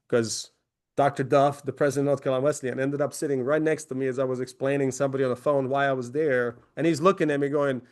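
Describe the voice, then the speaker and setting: A man's voice: mid-range voice, steady tone, fast, darkish tone. Chatty man in his forties from Croatia. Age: 40s. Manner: conversational, many fillers and restarts. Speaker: company founder presenting. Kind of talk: webinar presentation.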